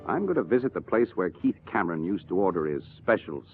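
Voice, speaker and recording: An actor playing a shrewd, commanding police inspector in a 1950s radio drama, with a dry, probing, authoritative manner. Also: male voice, low-pitched, very animated, fast. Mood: interrogating. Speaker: detective inspector. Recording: dull old radio recording with music.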